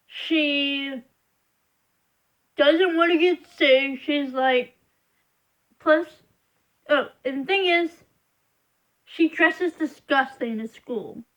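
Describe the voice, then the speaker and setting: A woman's voice: high-pitched, a bit bright, dull audio. A young woman vlogging to camera, rambling as she complains in frustration. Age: young adult. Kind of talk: casual venting monologue.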